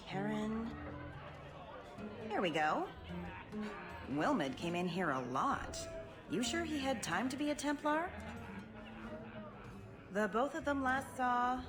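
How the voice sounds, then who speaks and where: An actress playing a brassy, worldly brothel keeper in a video game, with a sassy, offhand manner. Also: female voice, medium pitch, lively intonation, slow pace, pauses often. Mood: businesslike, dry. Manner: sassy, offhand, calls people honey. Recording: game audio with music behind.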